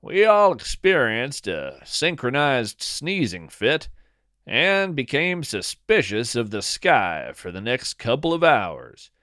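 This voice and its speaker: A man narrating a scripted first-person story as a podcast host, in a whimsical, deliberate and dryly playful manner. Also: mid-range voice, sing-song, bright, forceful tone, dry recording, studio quality.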